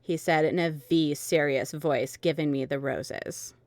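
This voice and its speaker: A woman narrating an amateur dramatic reading of fan fiction, informal and halting as she works through the text. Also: sits low, steady tone, a little mellow, dry recording. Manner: informal, halting, stumbling over misspelled text.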